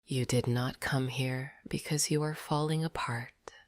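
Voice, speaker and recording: A woman narrating a scripted sleep meditation in a soft, breathy near-whisper, gentle and deliberate. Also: extremely low pitch, steady tone, no room sound, clean studio sound.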